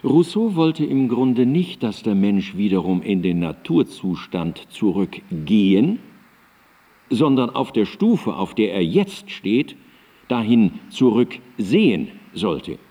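An actor playing an earnest, reflective Enlightenment philosopher in a radio drama, speaking in measured, emphatic, carefully phrased declamation. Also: male voice, fairly low pitch, very animated, mellow, heavily acted. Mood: reflective, lecturing. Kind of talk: radio drama monologue of historical writings. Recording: reverberant hall sound.

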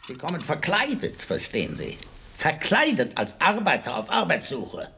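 A smug, gravelly, swooping official. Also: male voice, on the high side, huge pitch swings, moderate pace, pushed and bright, heavily acted. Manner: self-satisfied, condescending. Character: smug, boastful male official, pleased with his own cleverness. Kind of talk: radio play acting.

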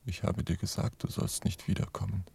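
An actor playing an ominous man who gives grim warnings in a literary radio drama, with a gravelly, hushed voice. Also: sits low, steady tone, fairly quick, a little hiss or hum. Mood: hushed, menacing.

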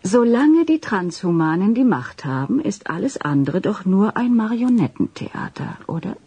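An actress playing a cheeky, persistent TV reporter in a radio drama, coaxing and teasing with gentle irony. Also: female voice, medium pitch, big pitch swings, moderate pace, breathy edge, heavily acted. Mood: persuasive. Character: sly, cheeky, persistent female TV news reporter.

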